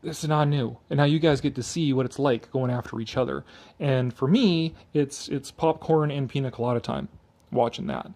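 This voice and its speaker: A man's voice: mid-range voice, normal speed, creaky, darkish tone. A man giving a sarcastic, exasperated off-the-cuff commentary, emphatic and freely swearing, a little tipsy. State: tipsy from drinking. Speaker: video commentator.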